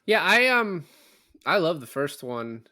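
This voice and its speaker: A man's voice: fairly high pitch, sing-song, measured pace, sharp tone, no room sound, clean studio sound. A man co-hosting a casual film chat, blunt and joking, with some swearing. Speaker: podcast co-host.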